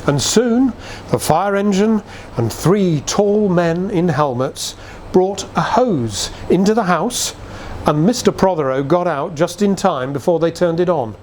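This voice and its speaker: A man reads a nostalgic literary tale as a dramatic storyteller. His voice sits a little high and swings expressively through the phrases, at a leisurely pace. There is faint noise behind him. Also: expressive, unhurried, a little mellow. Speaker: reader.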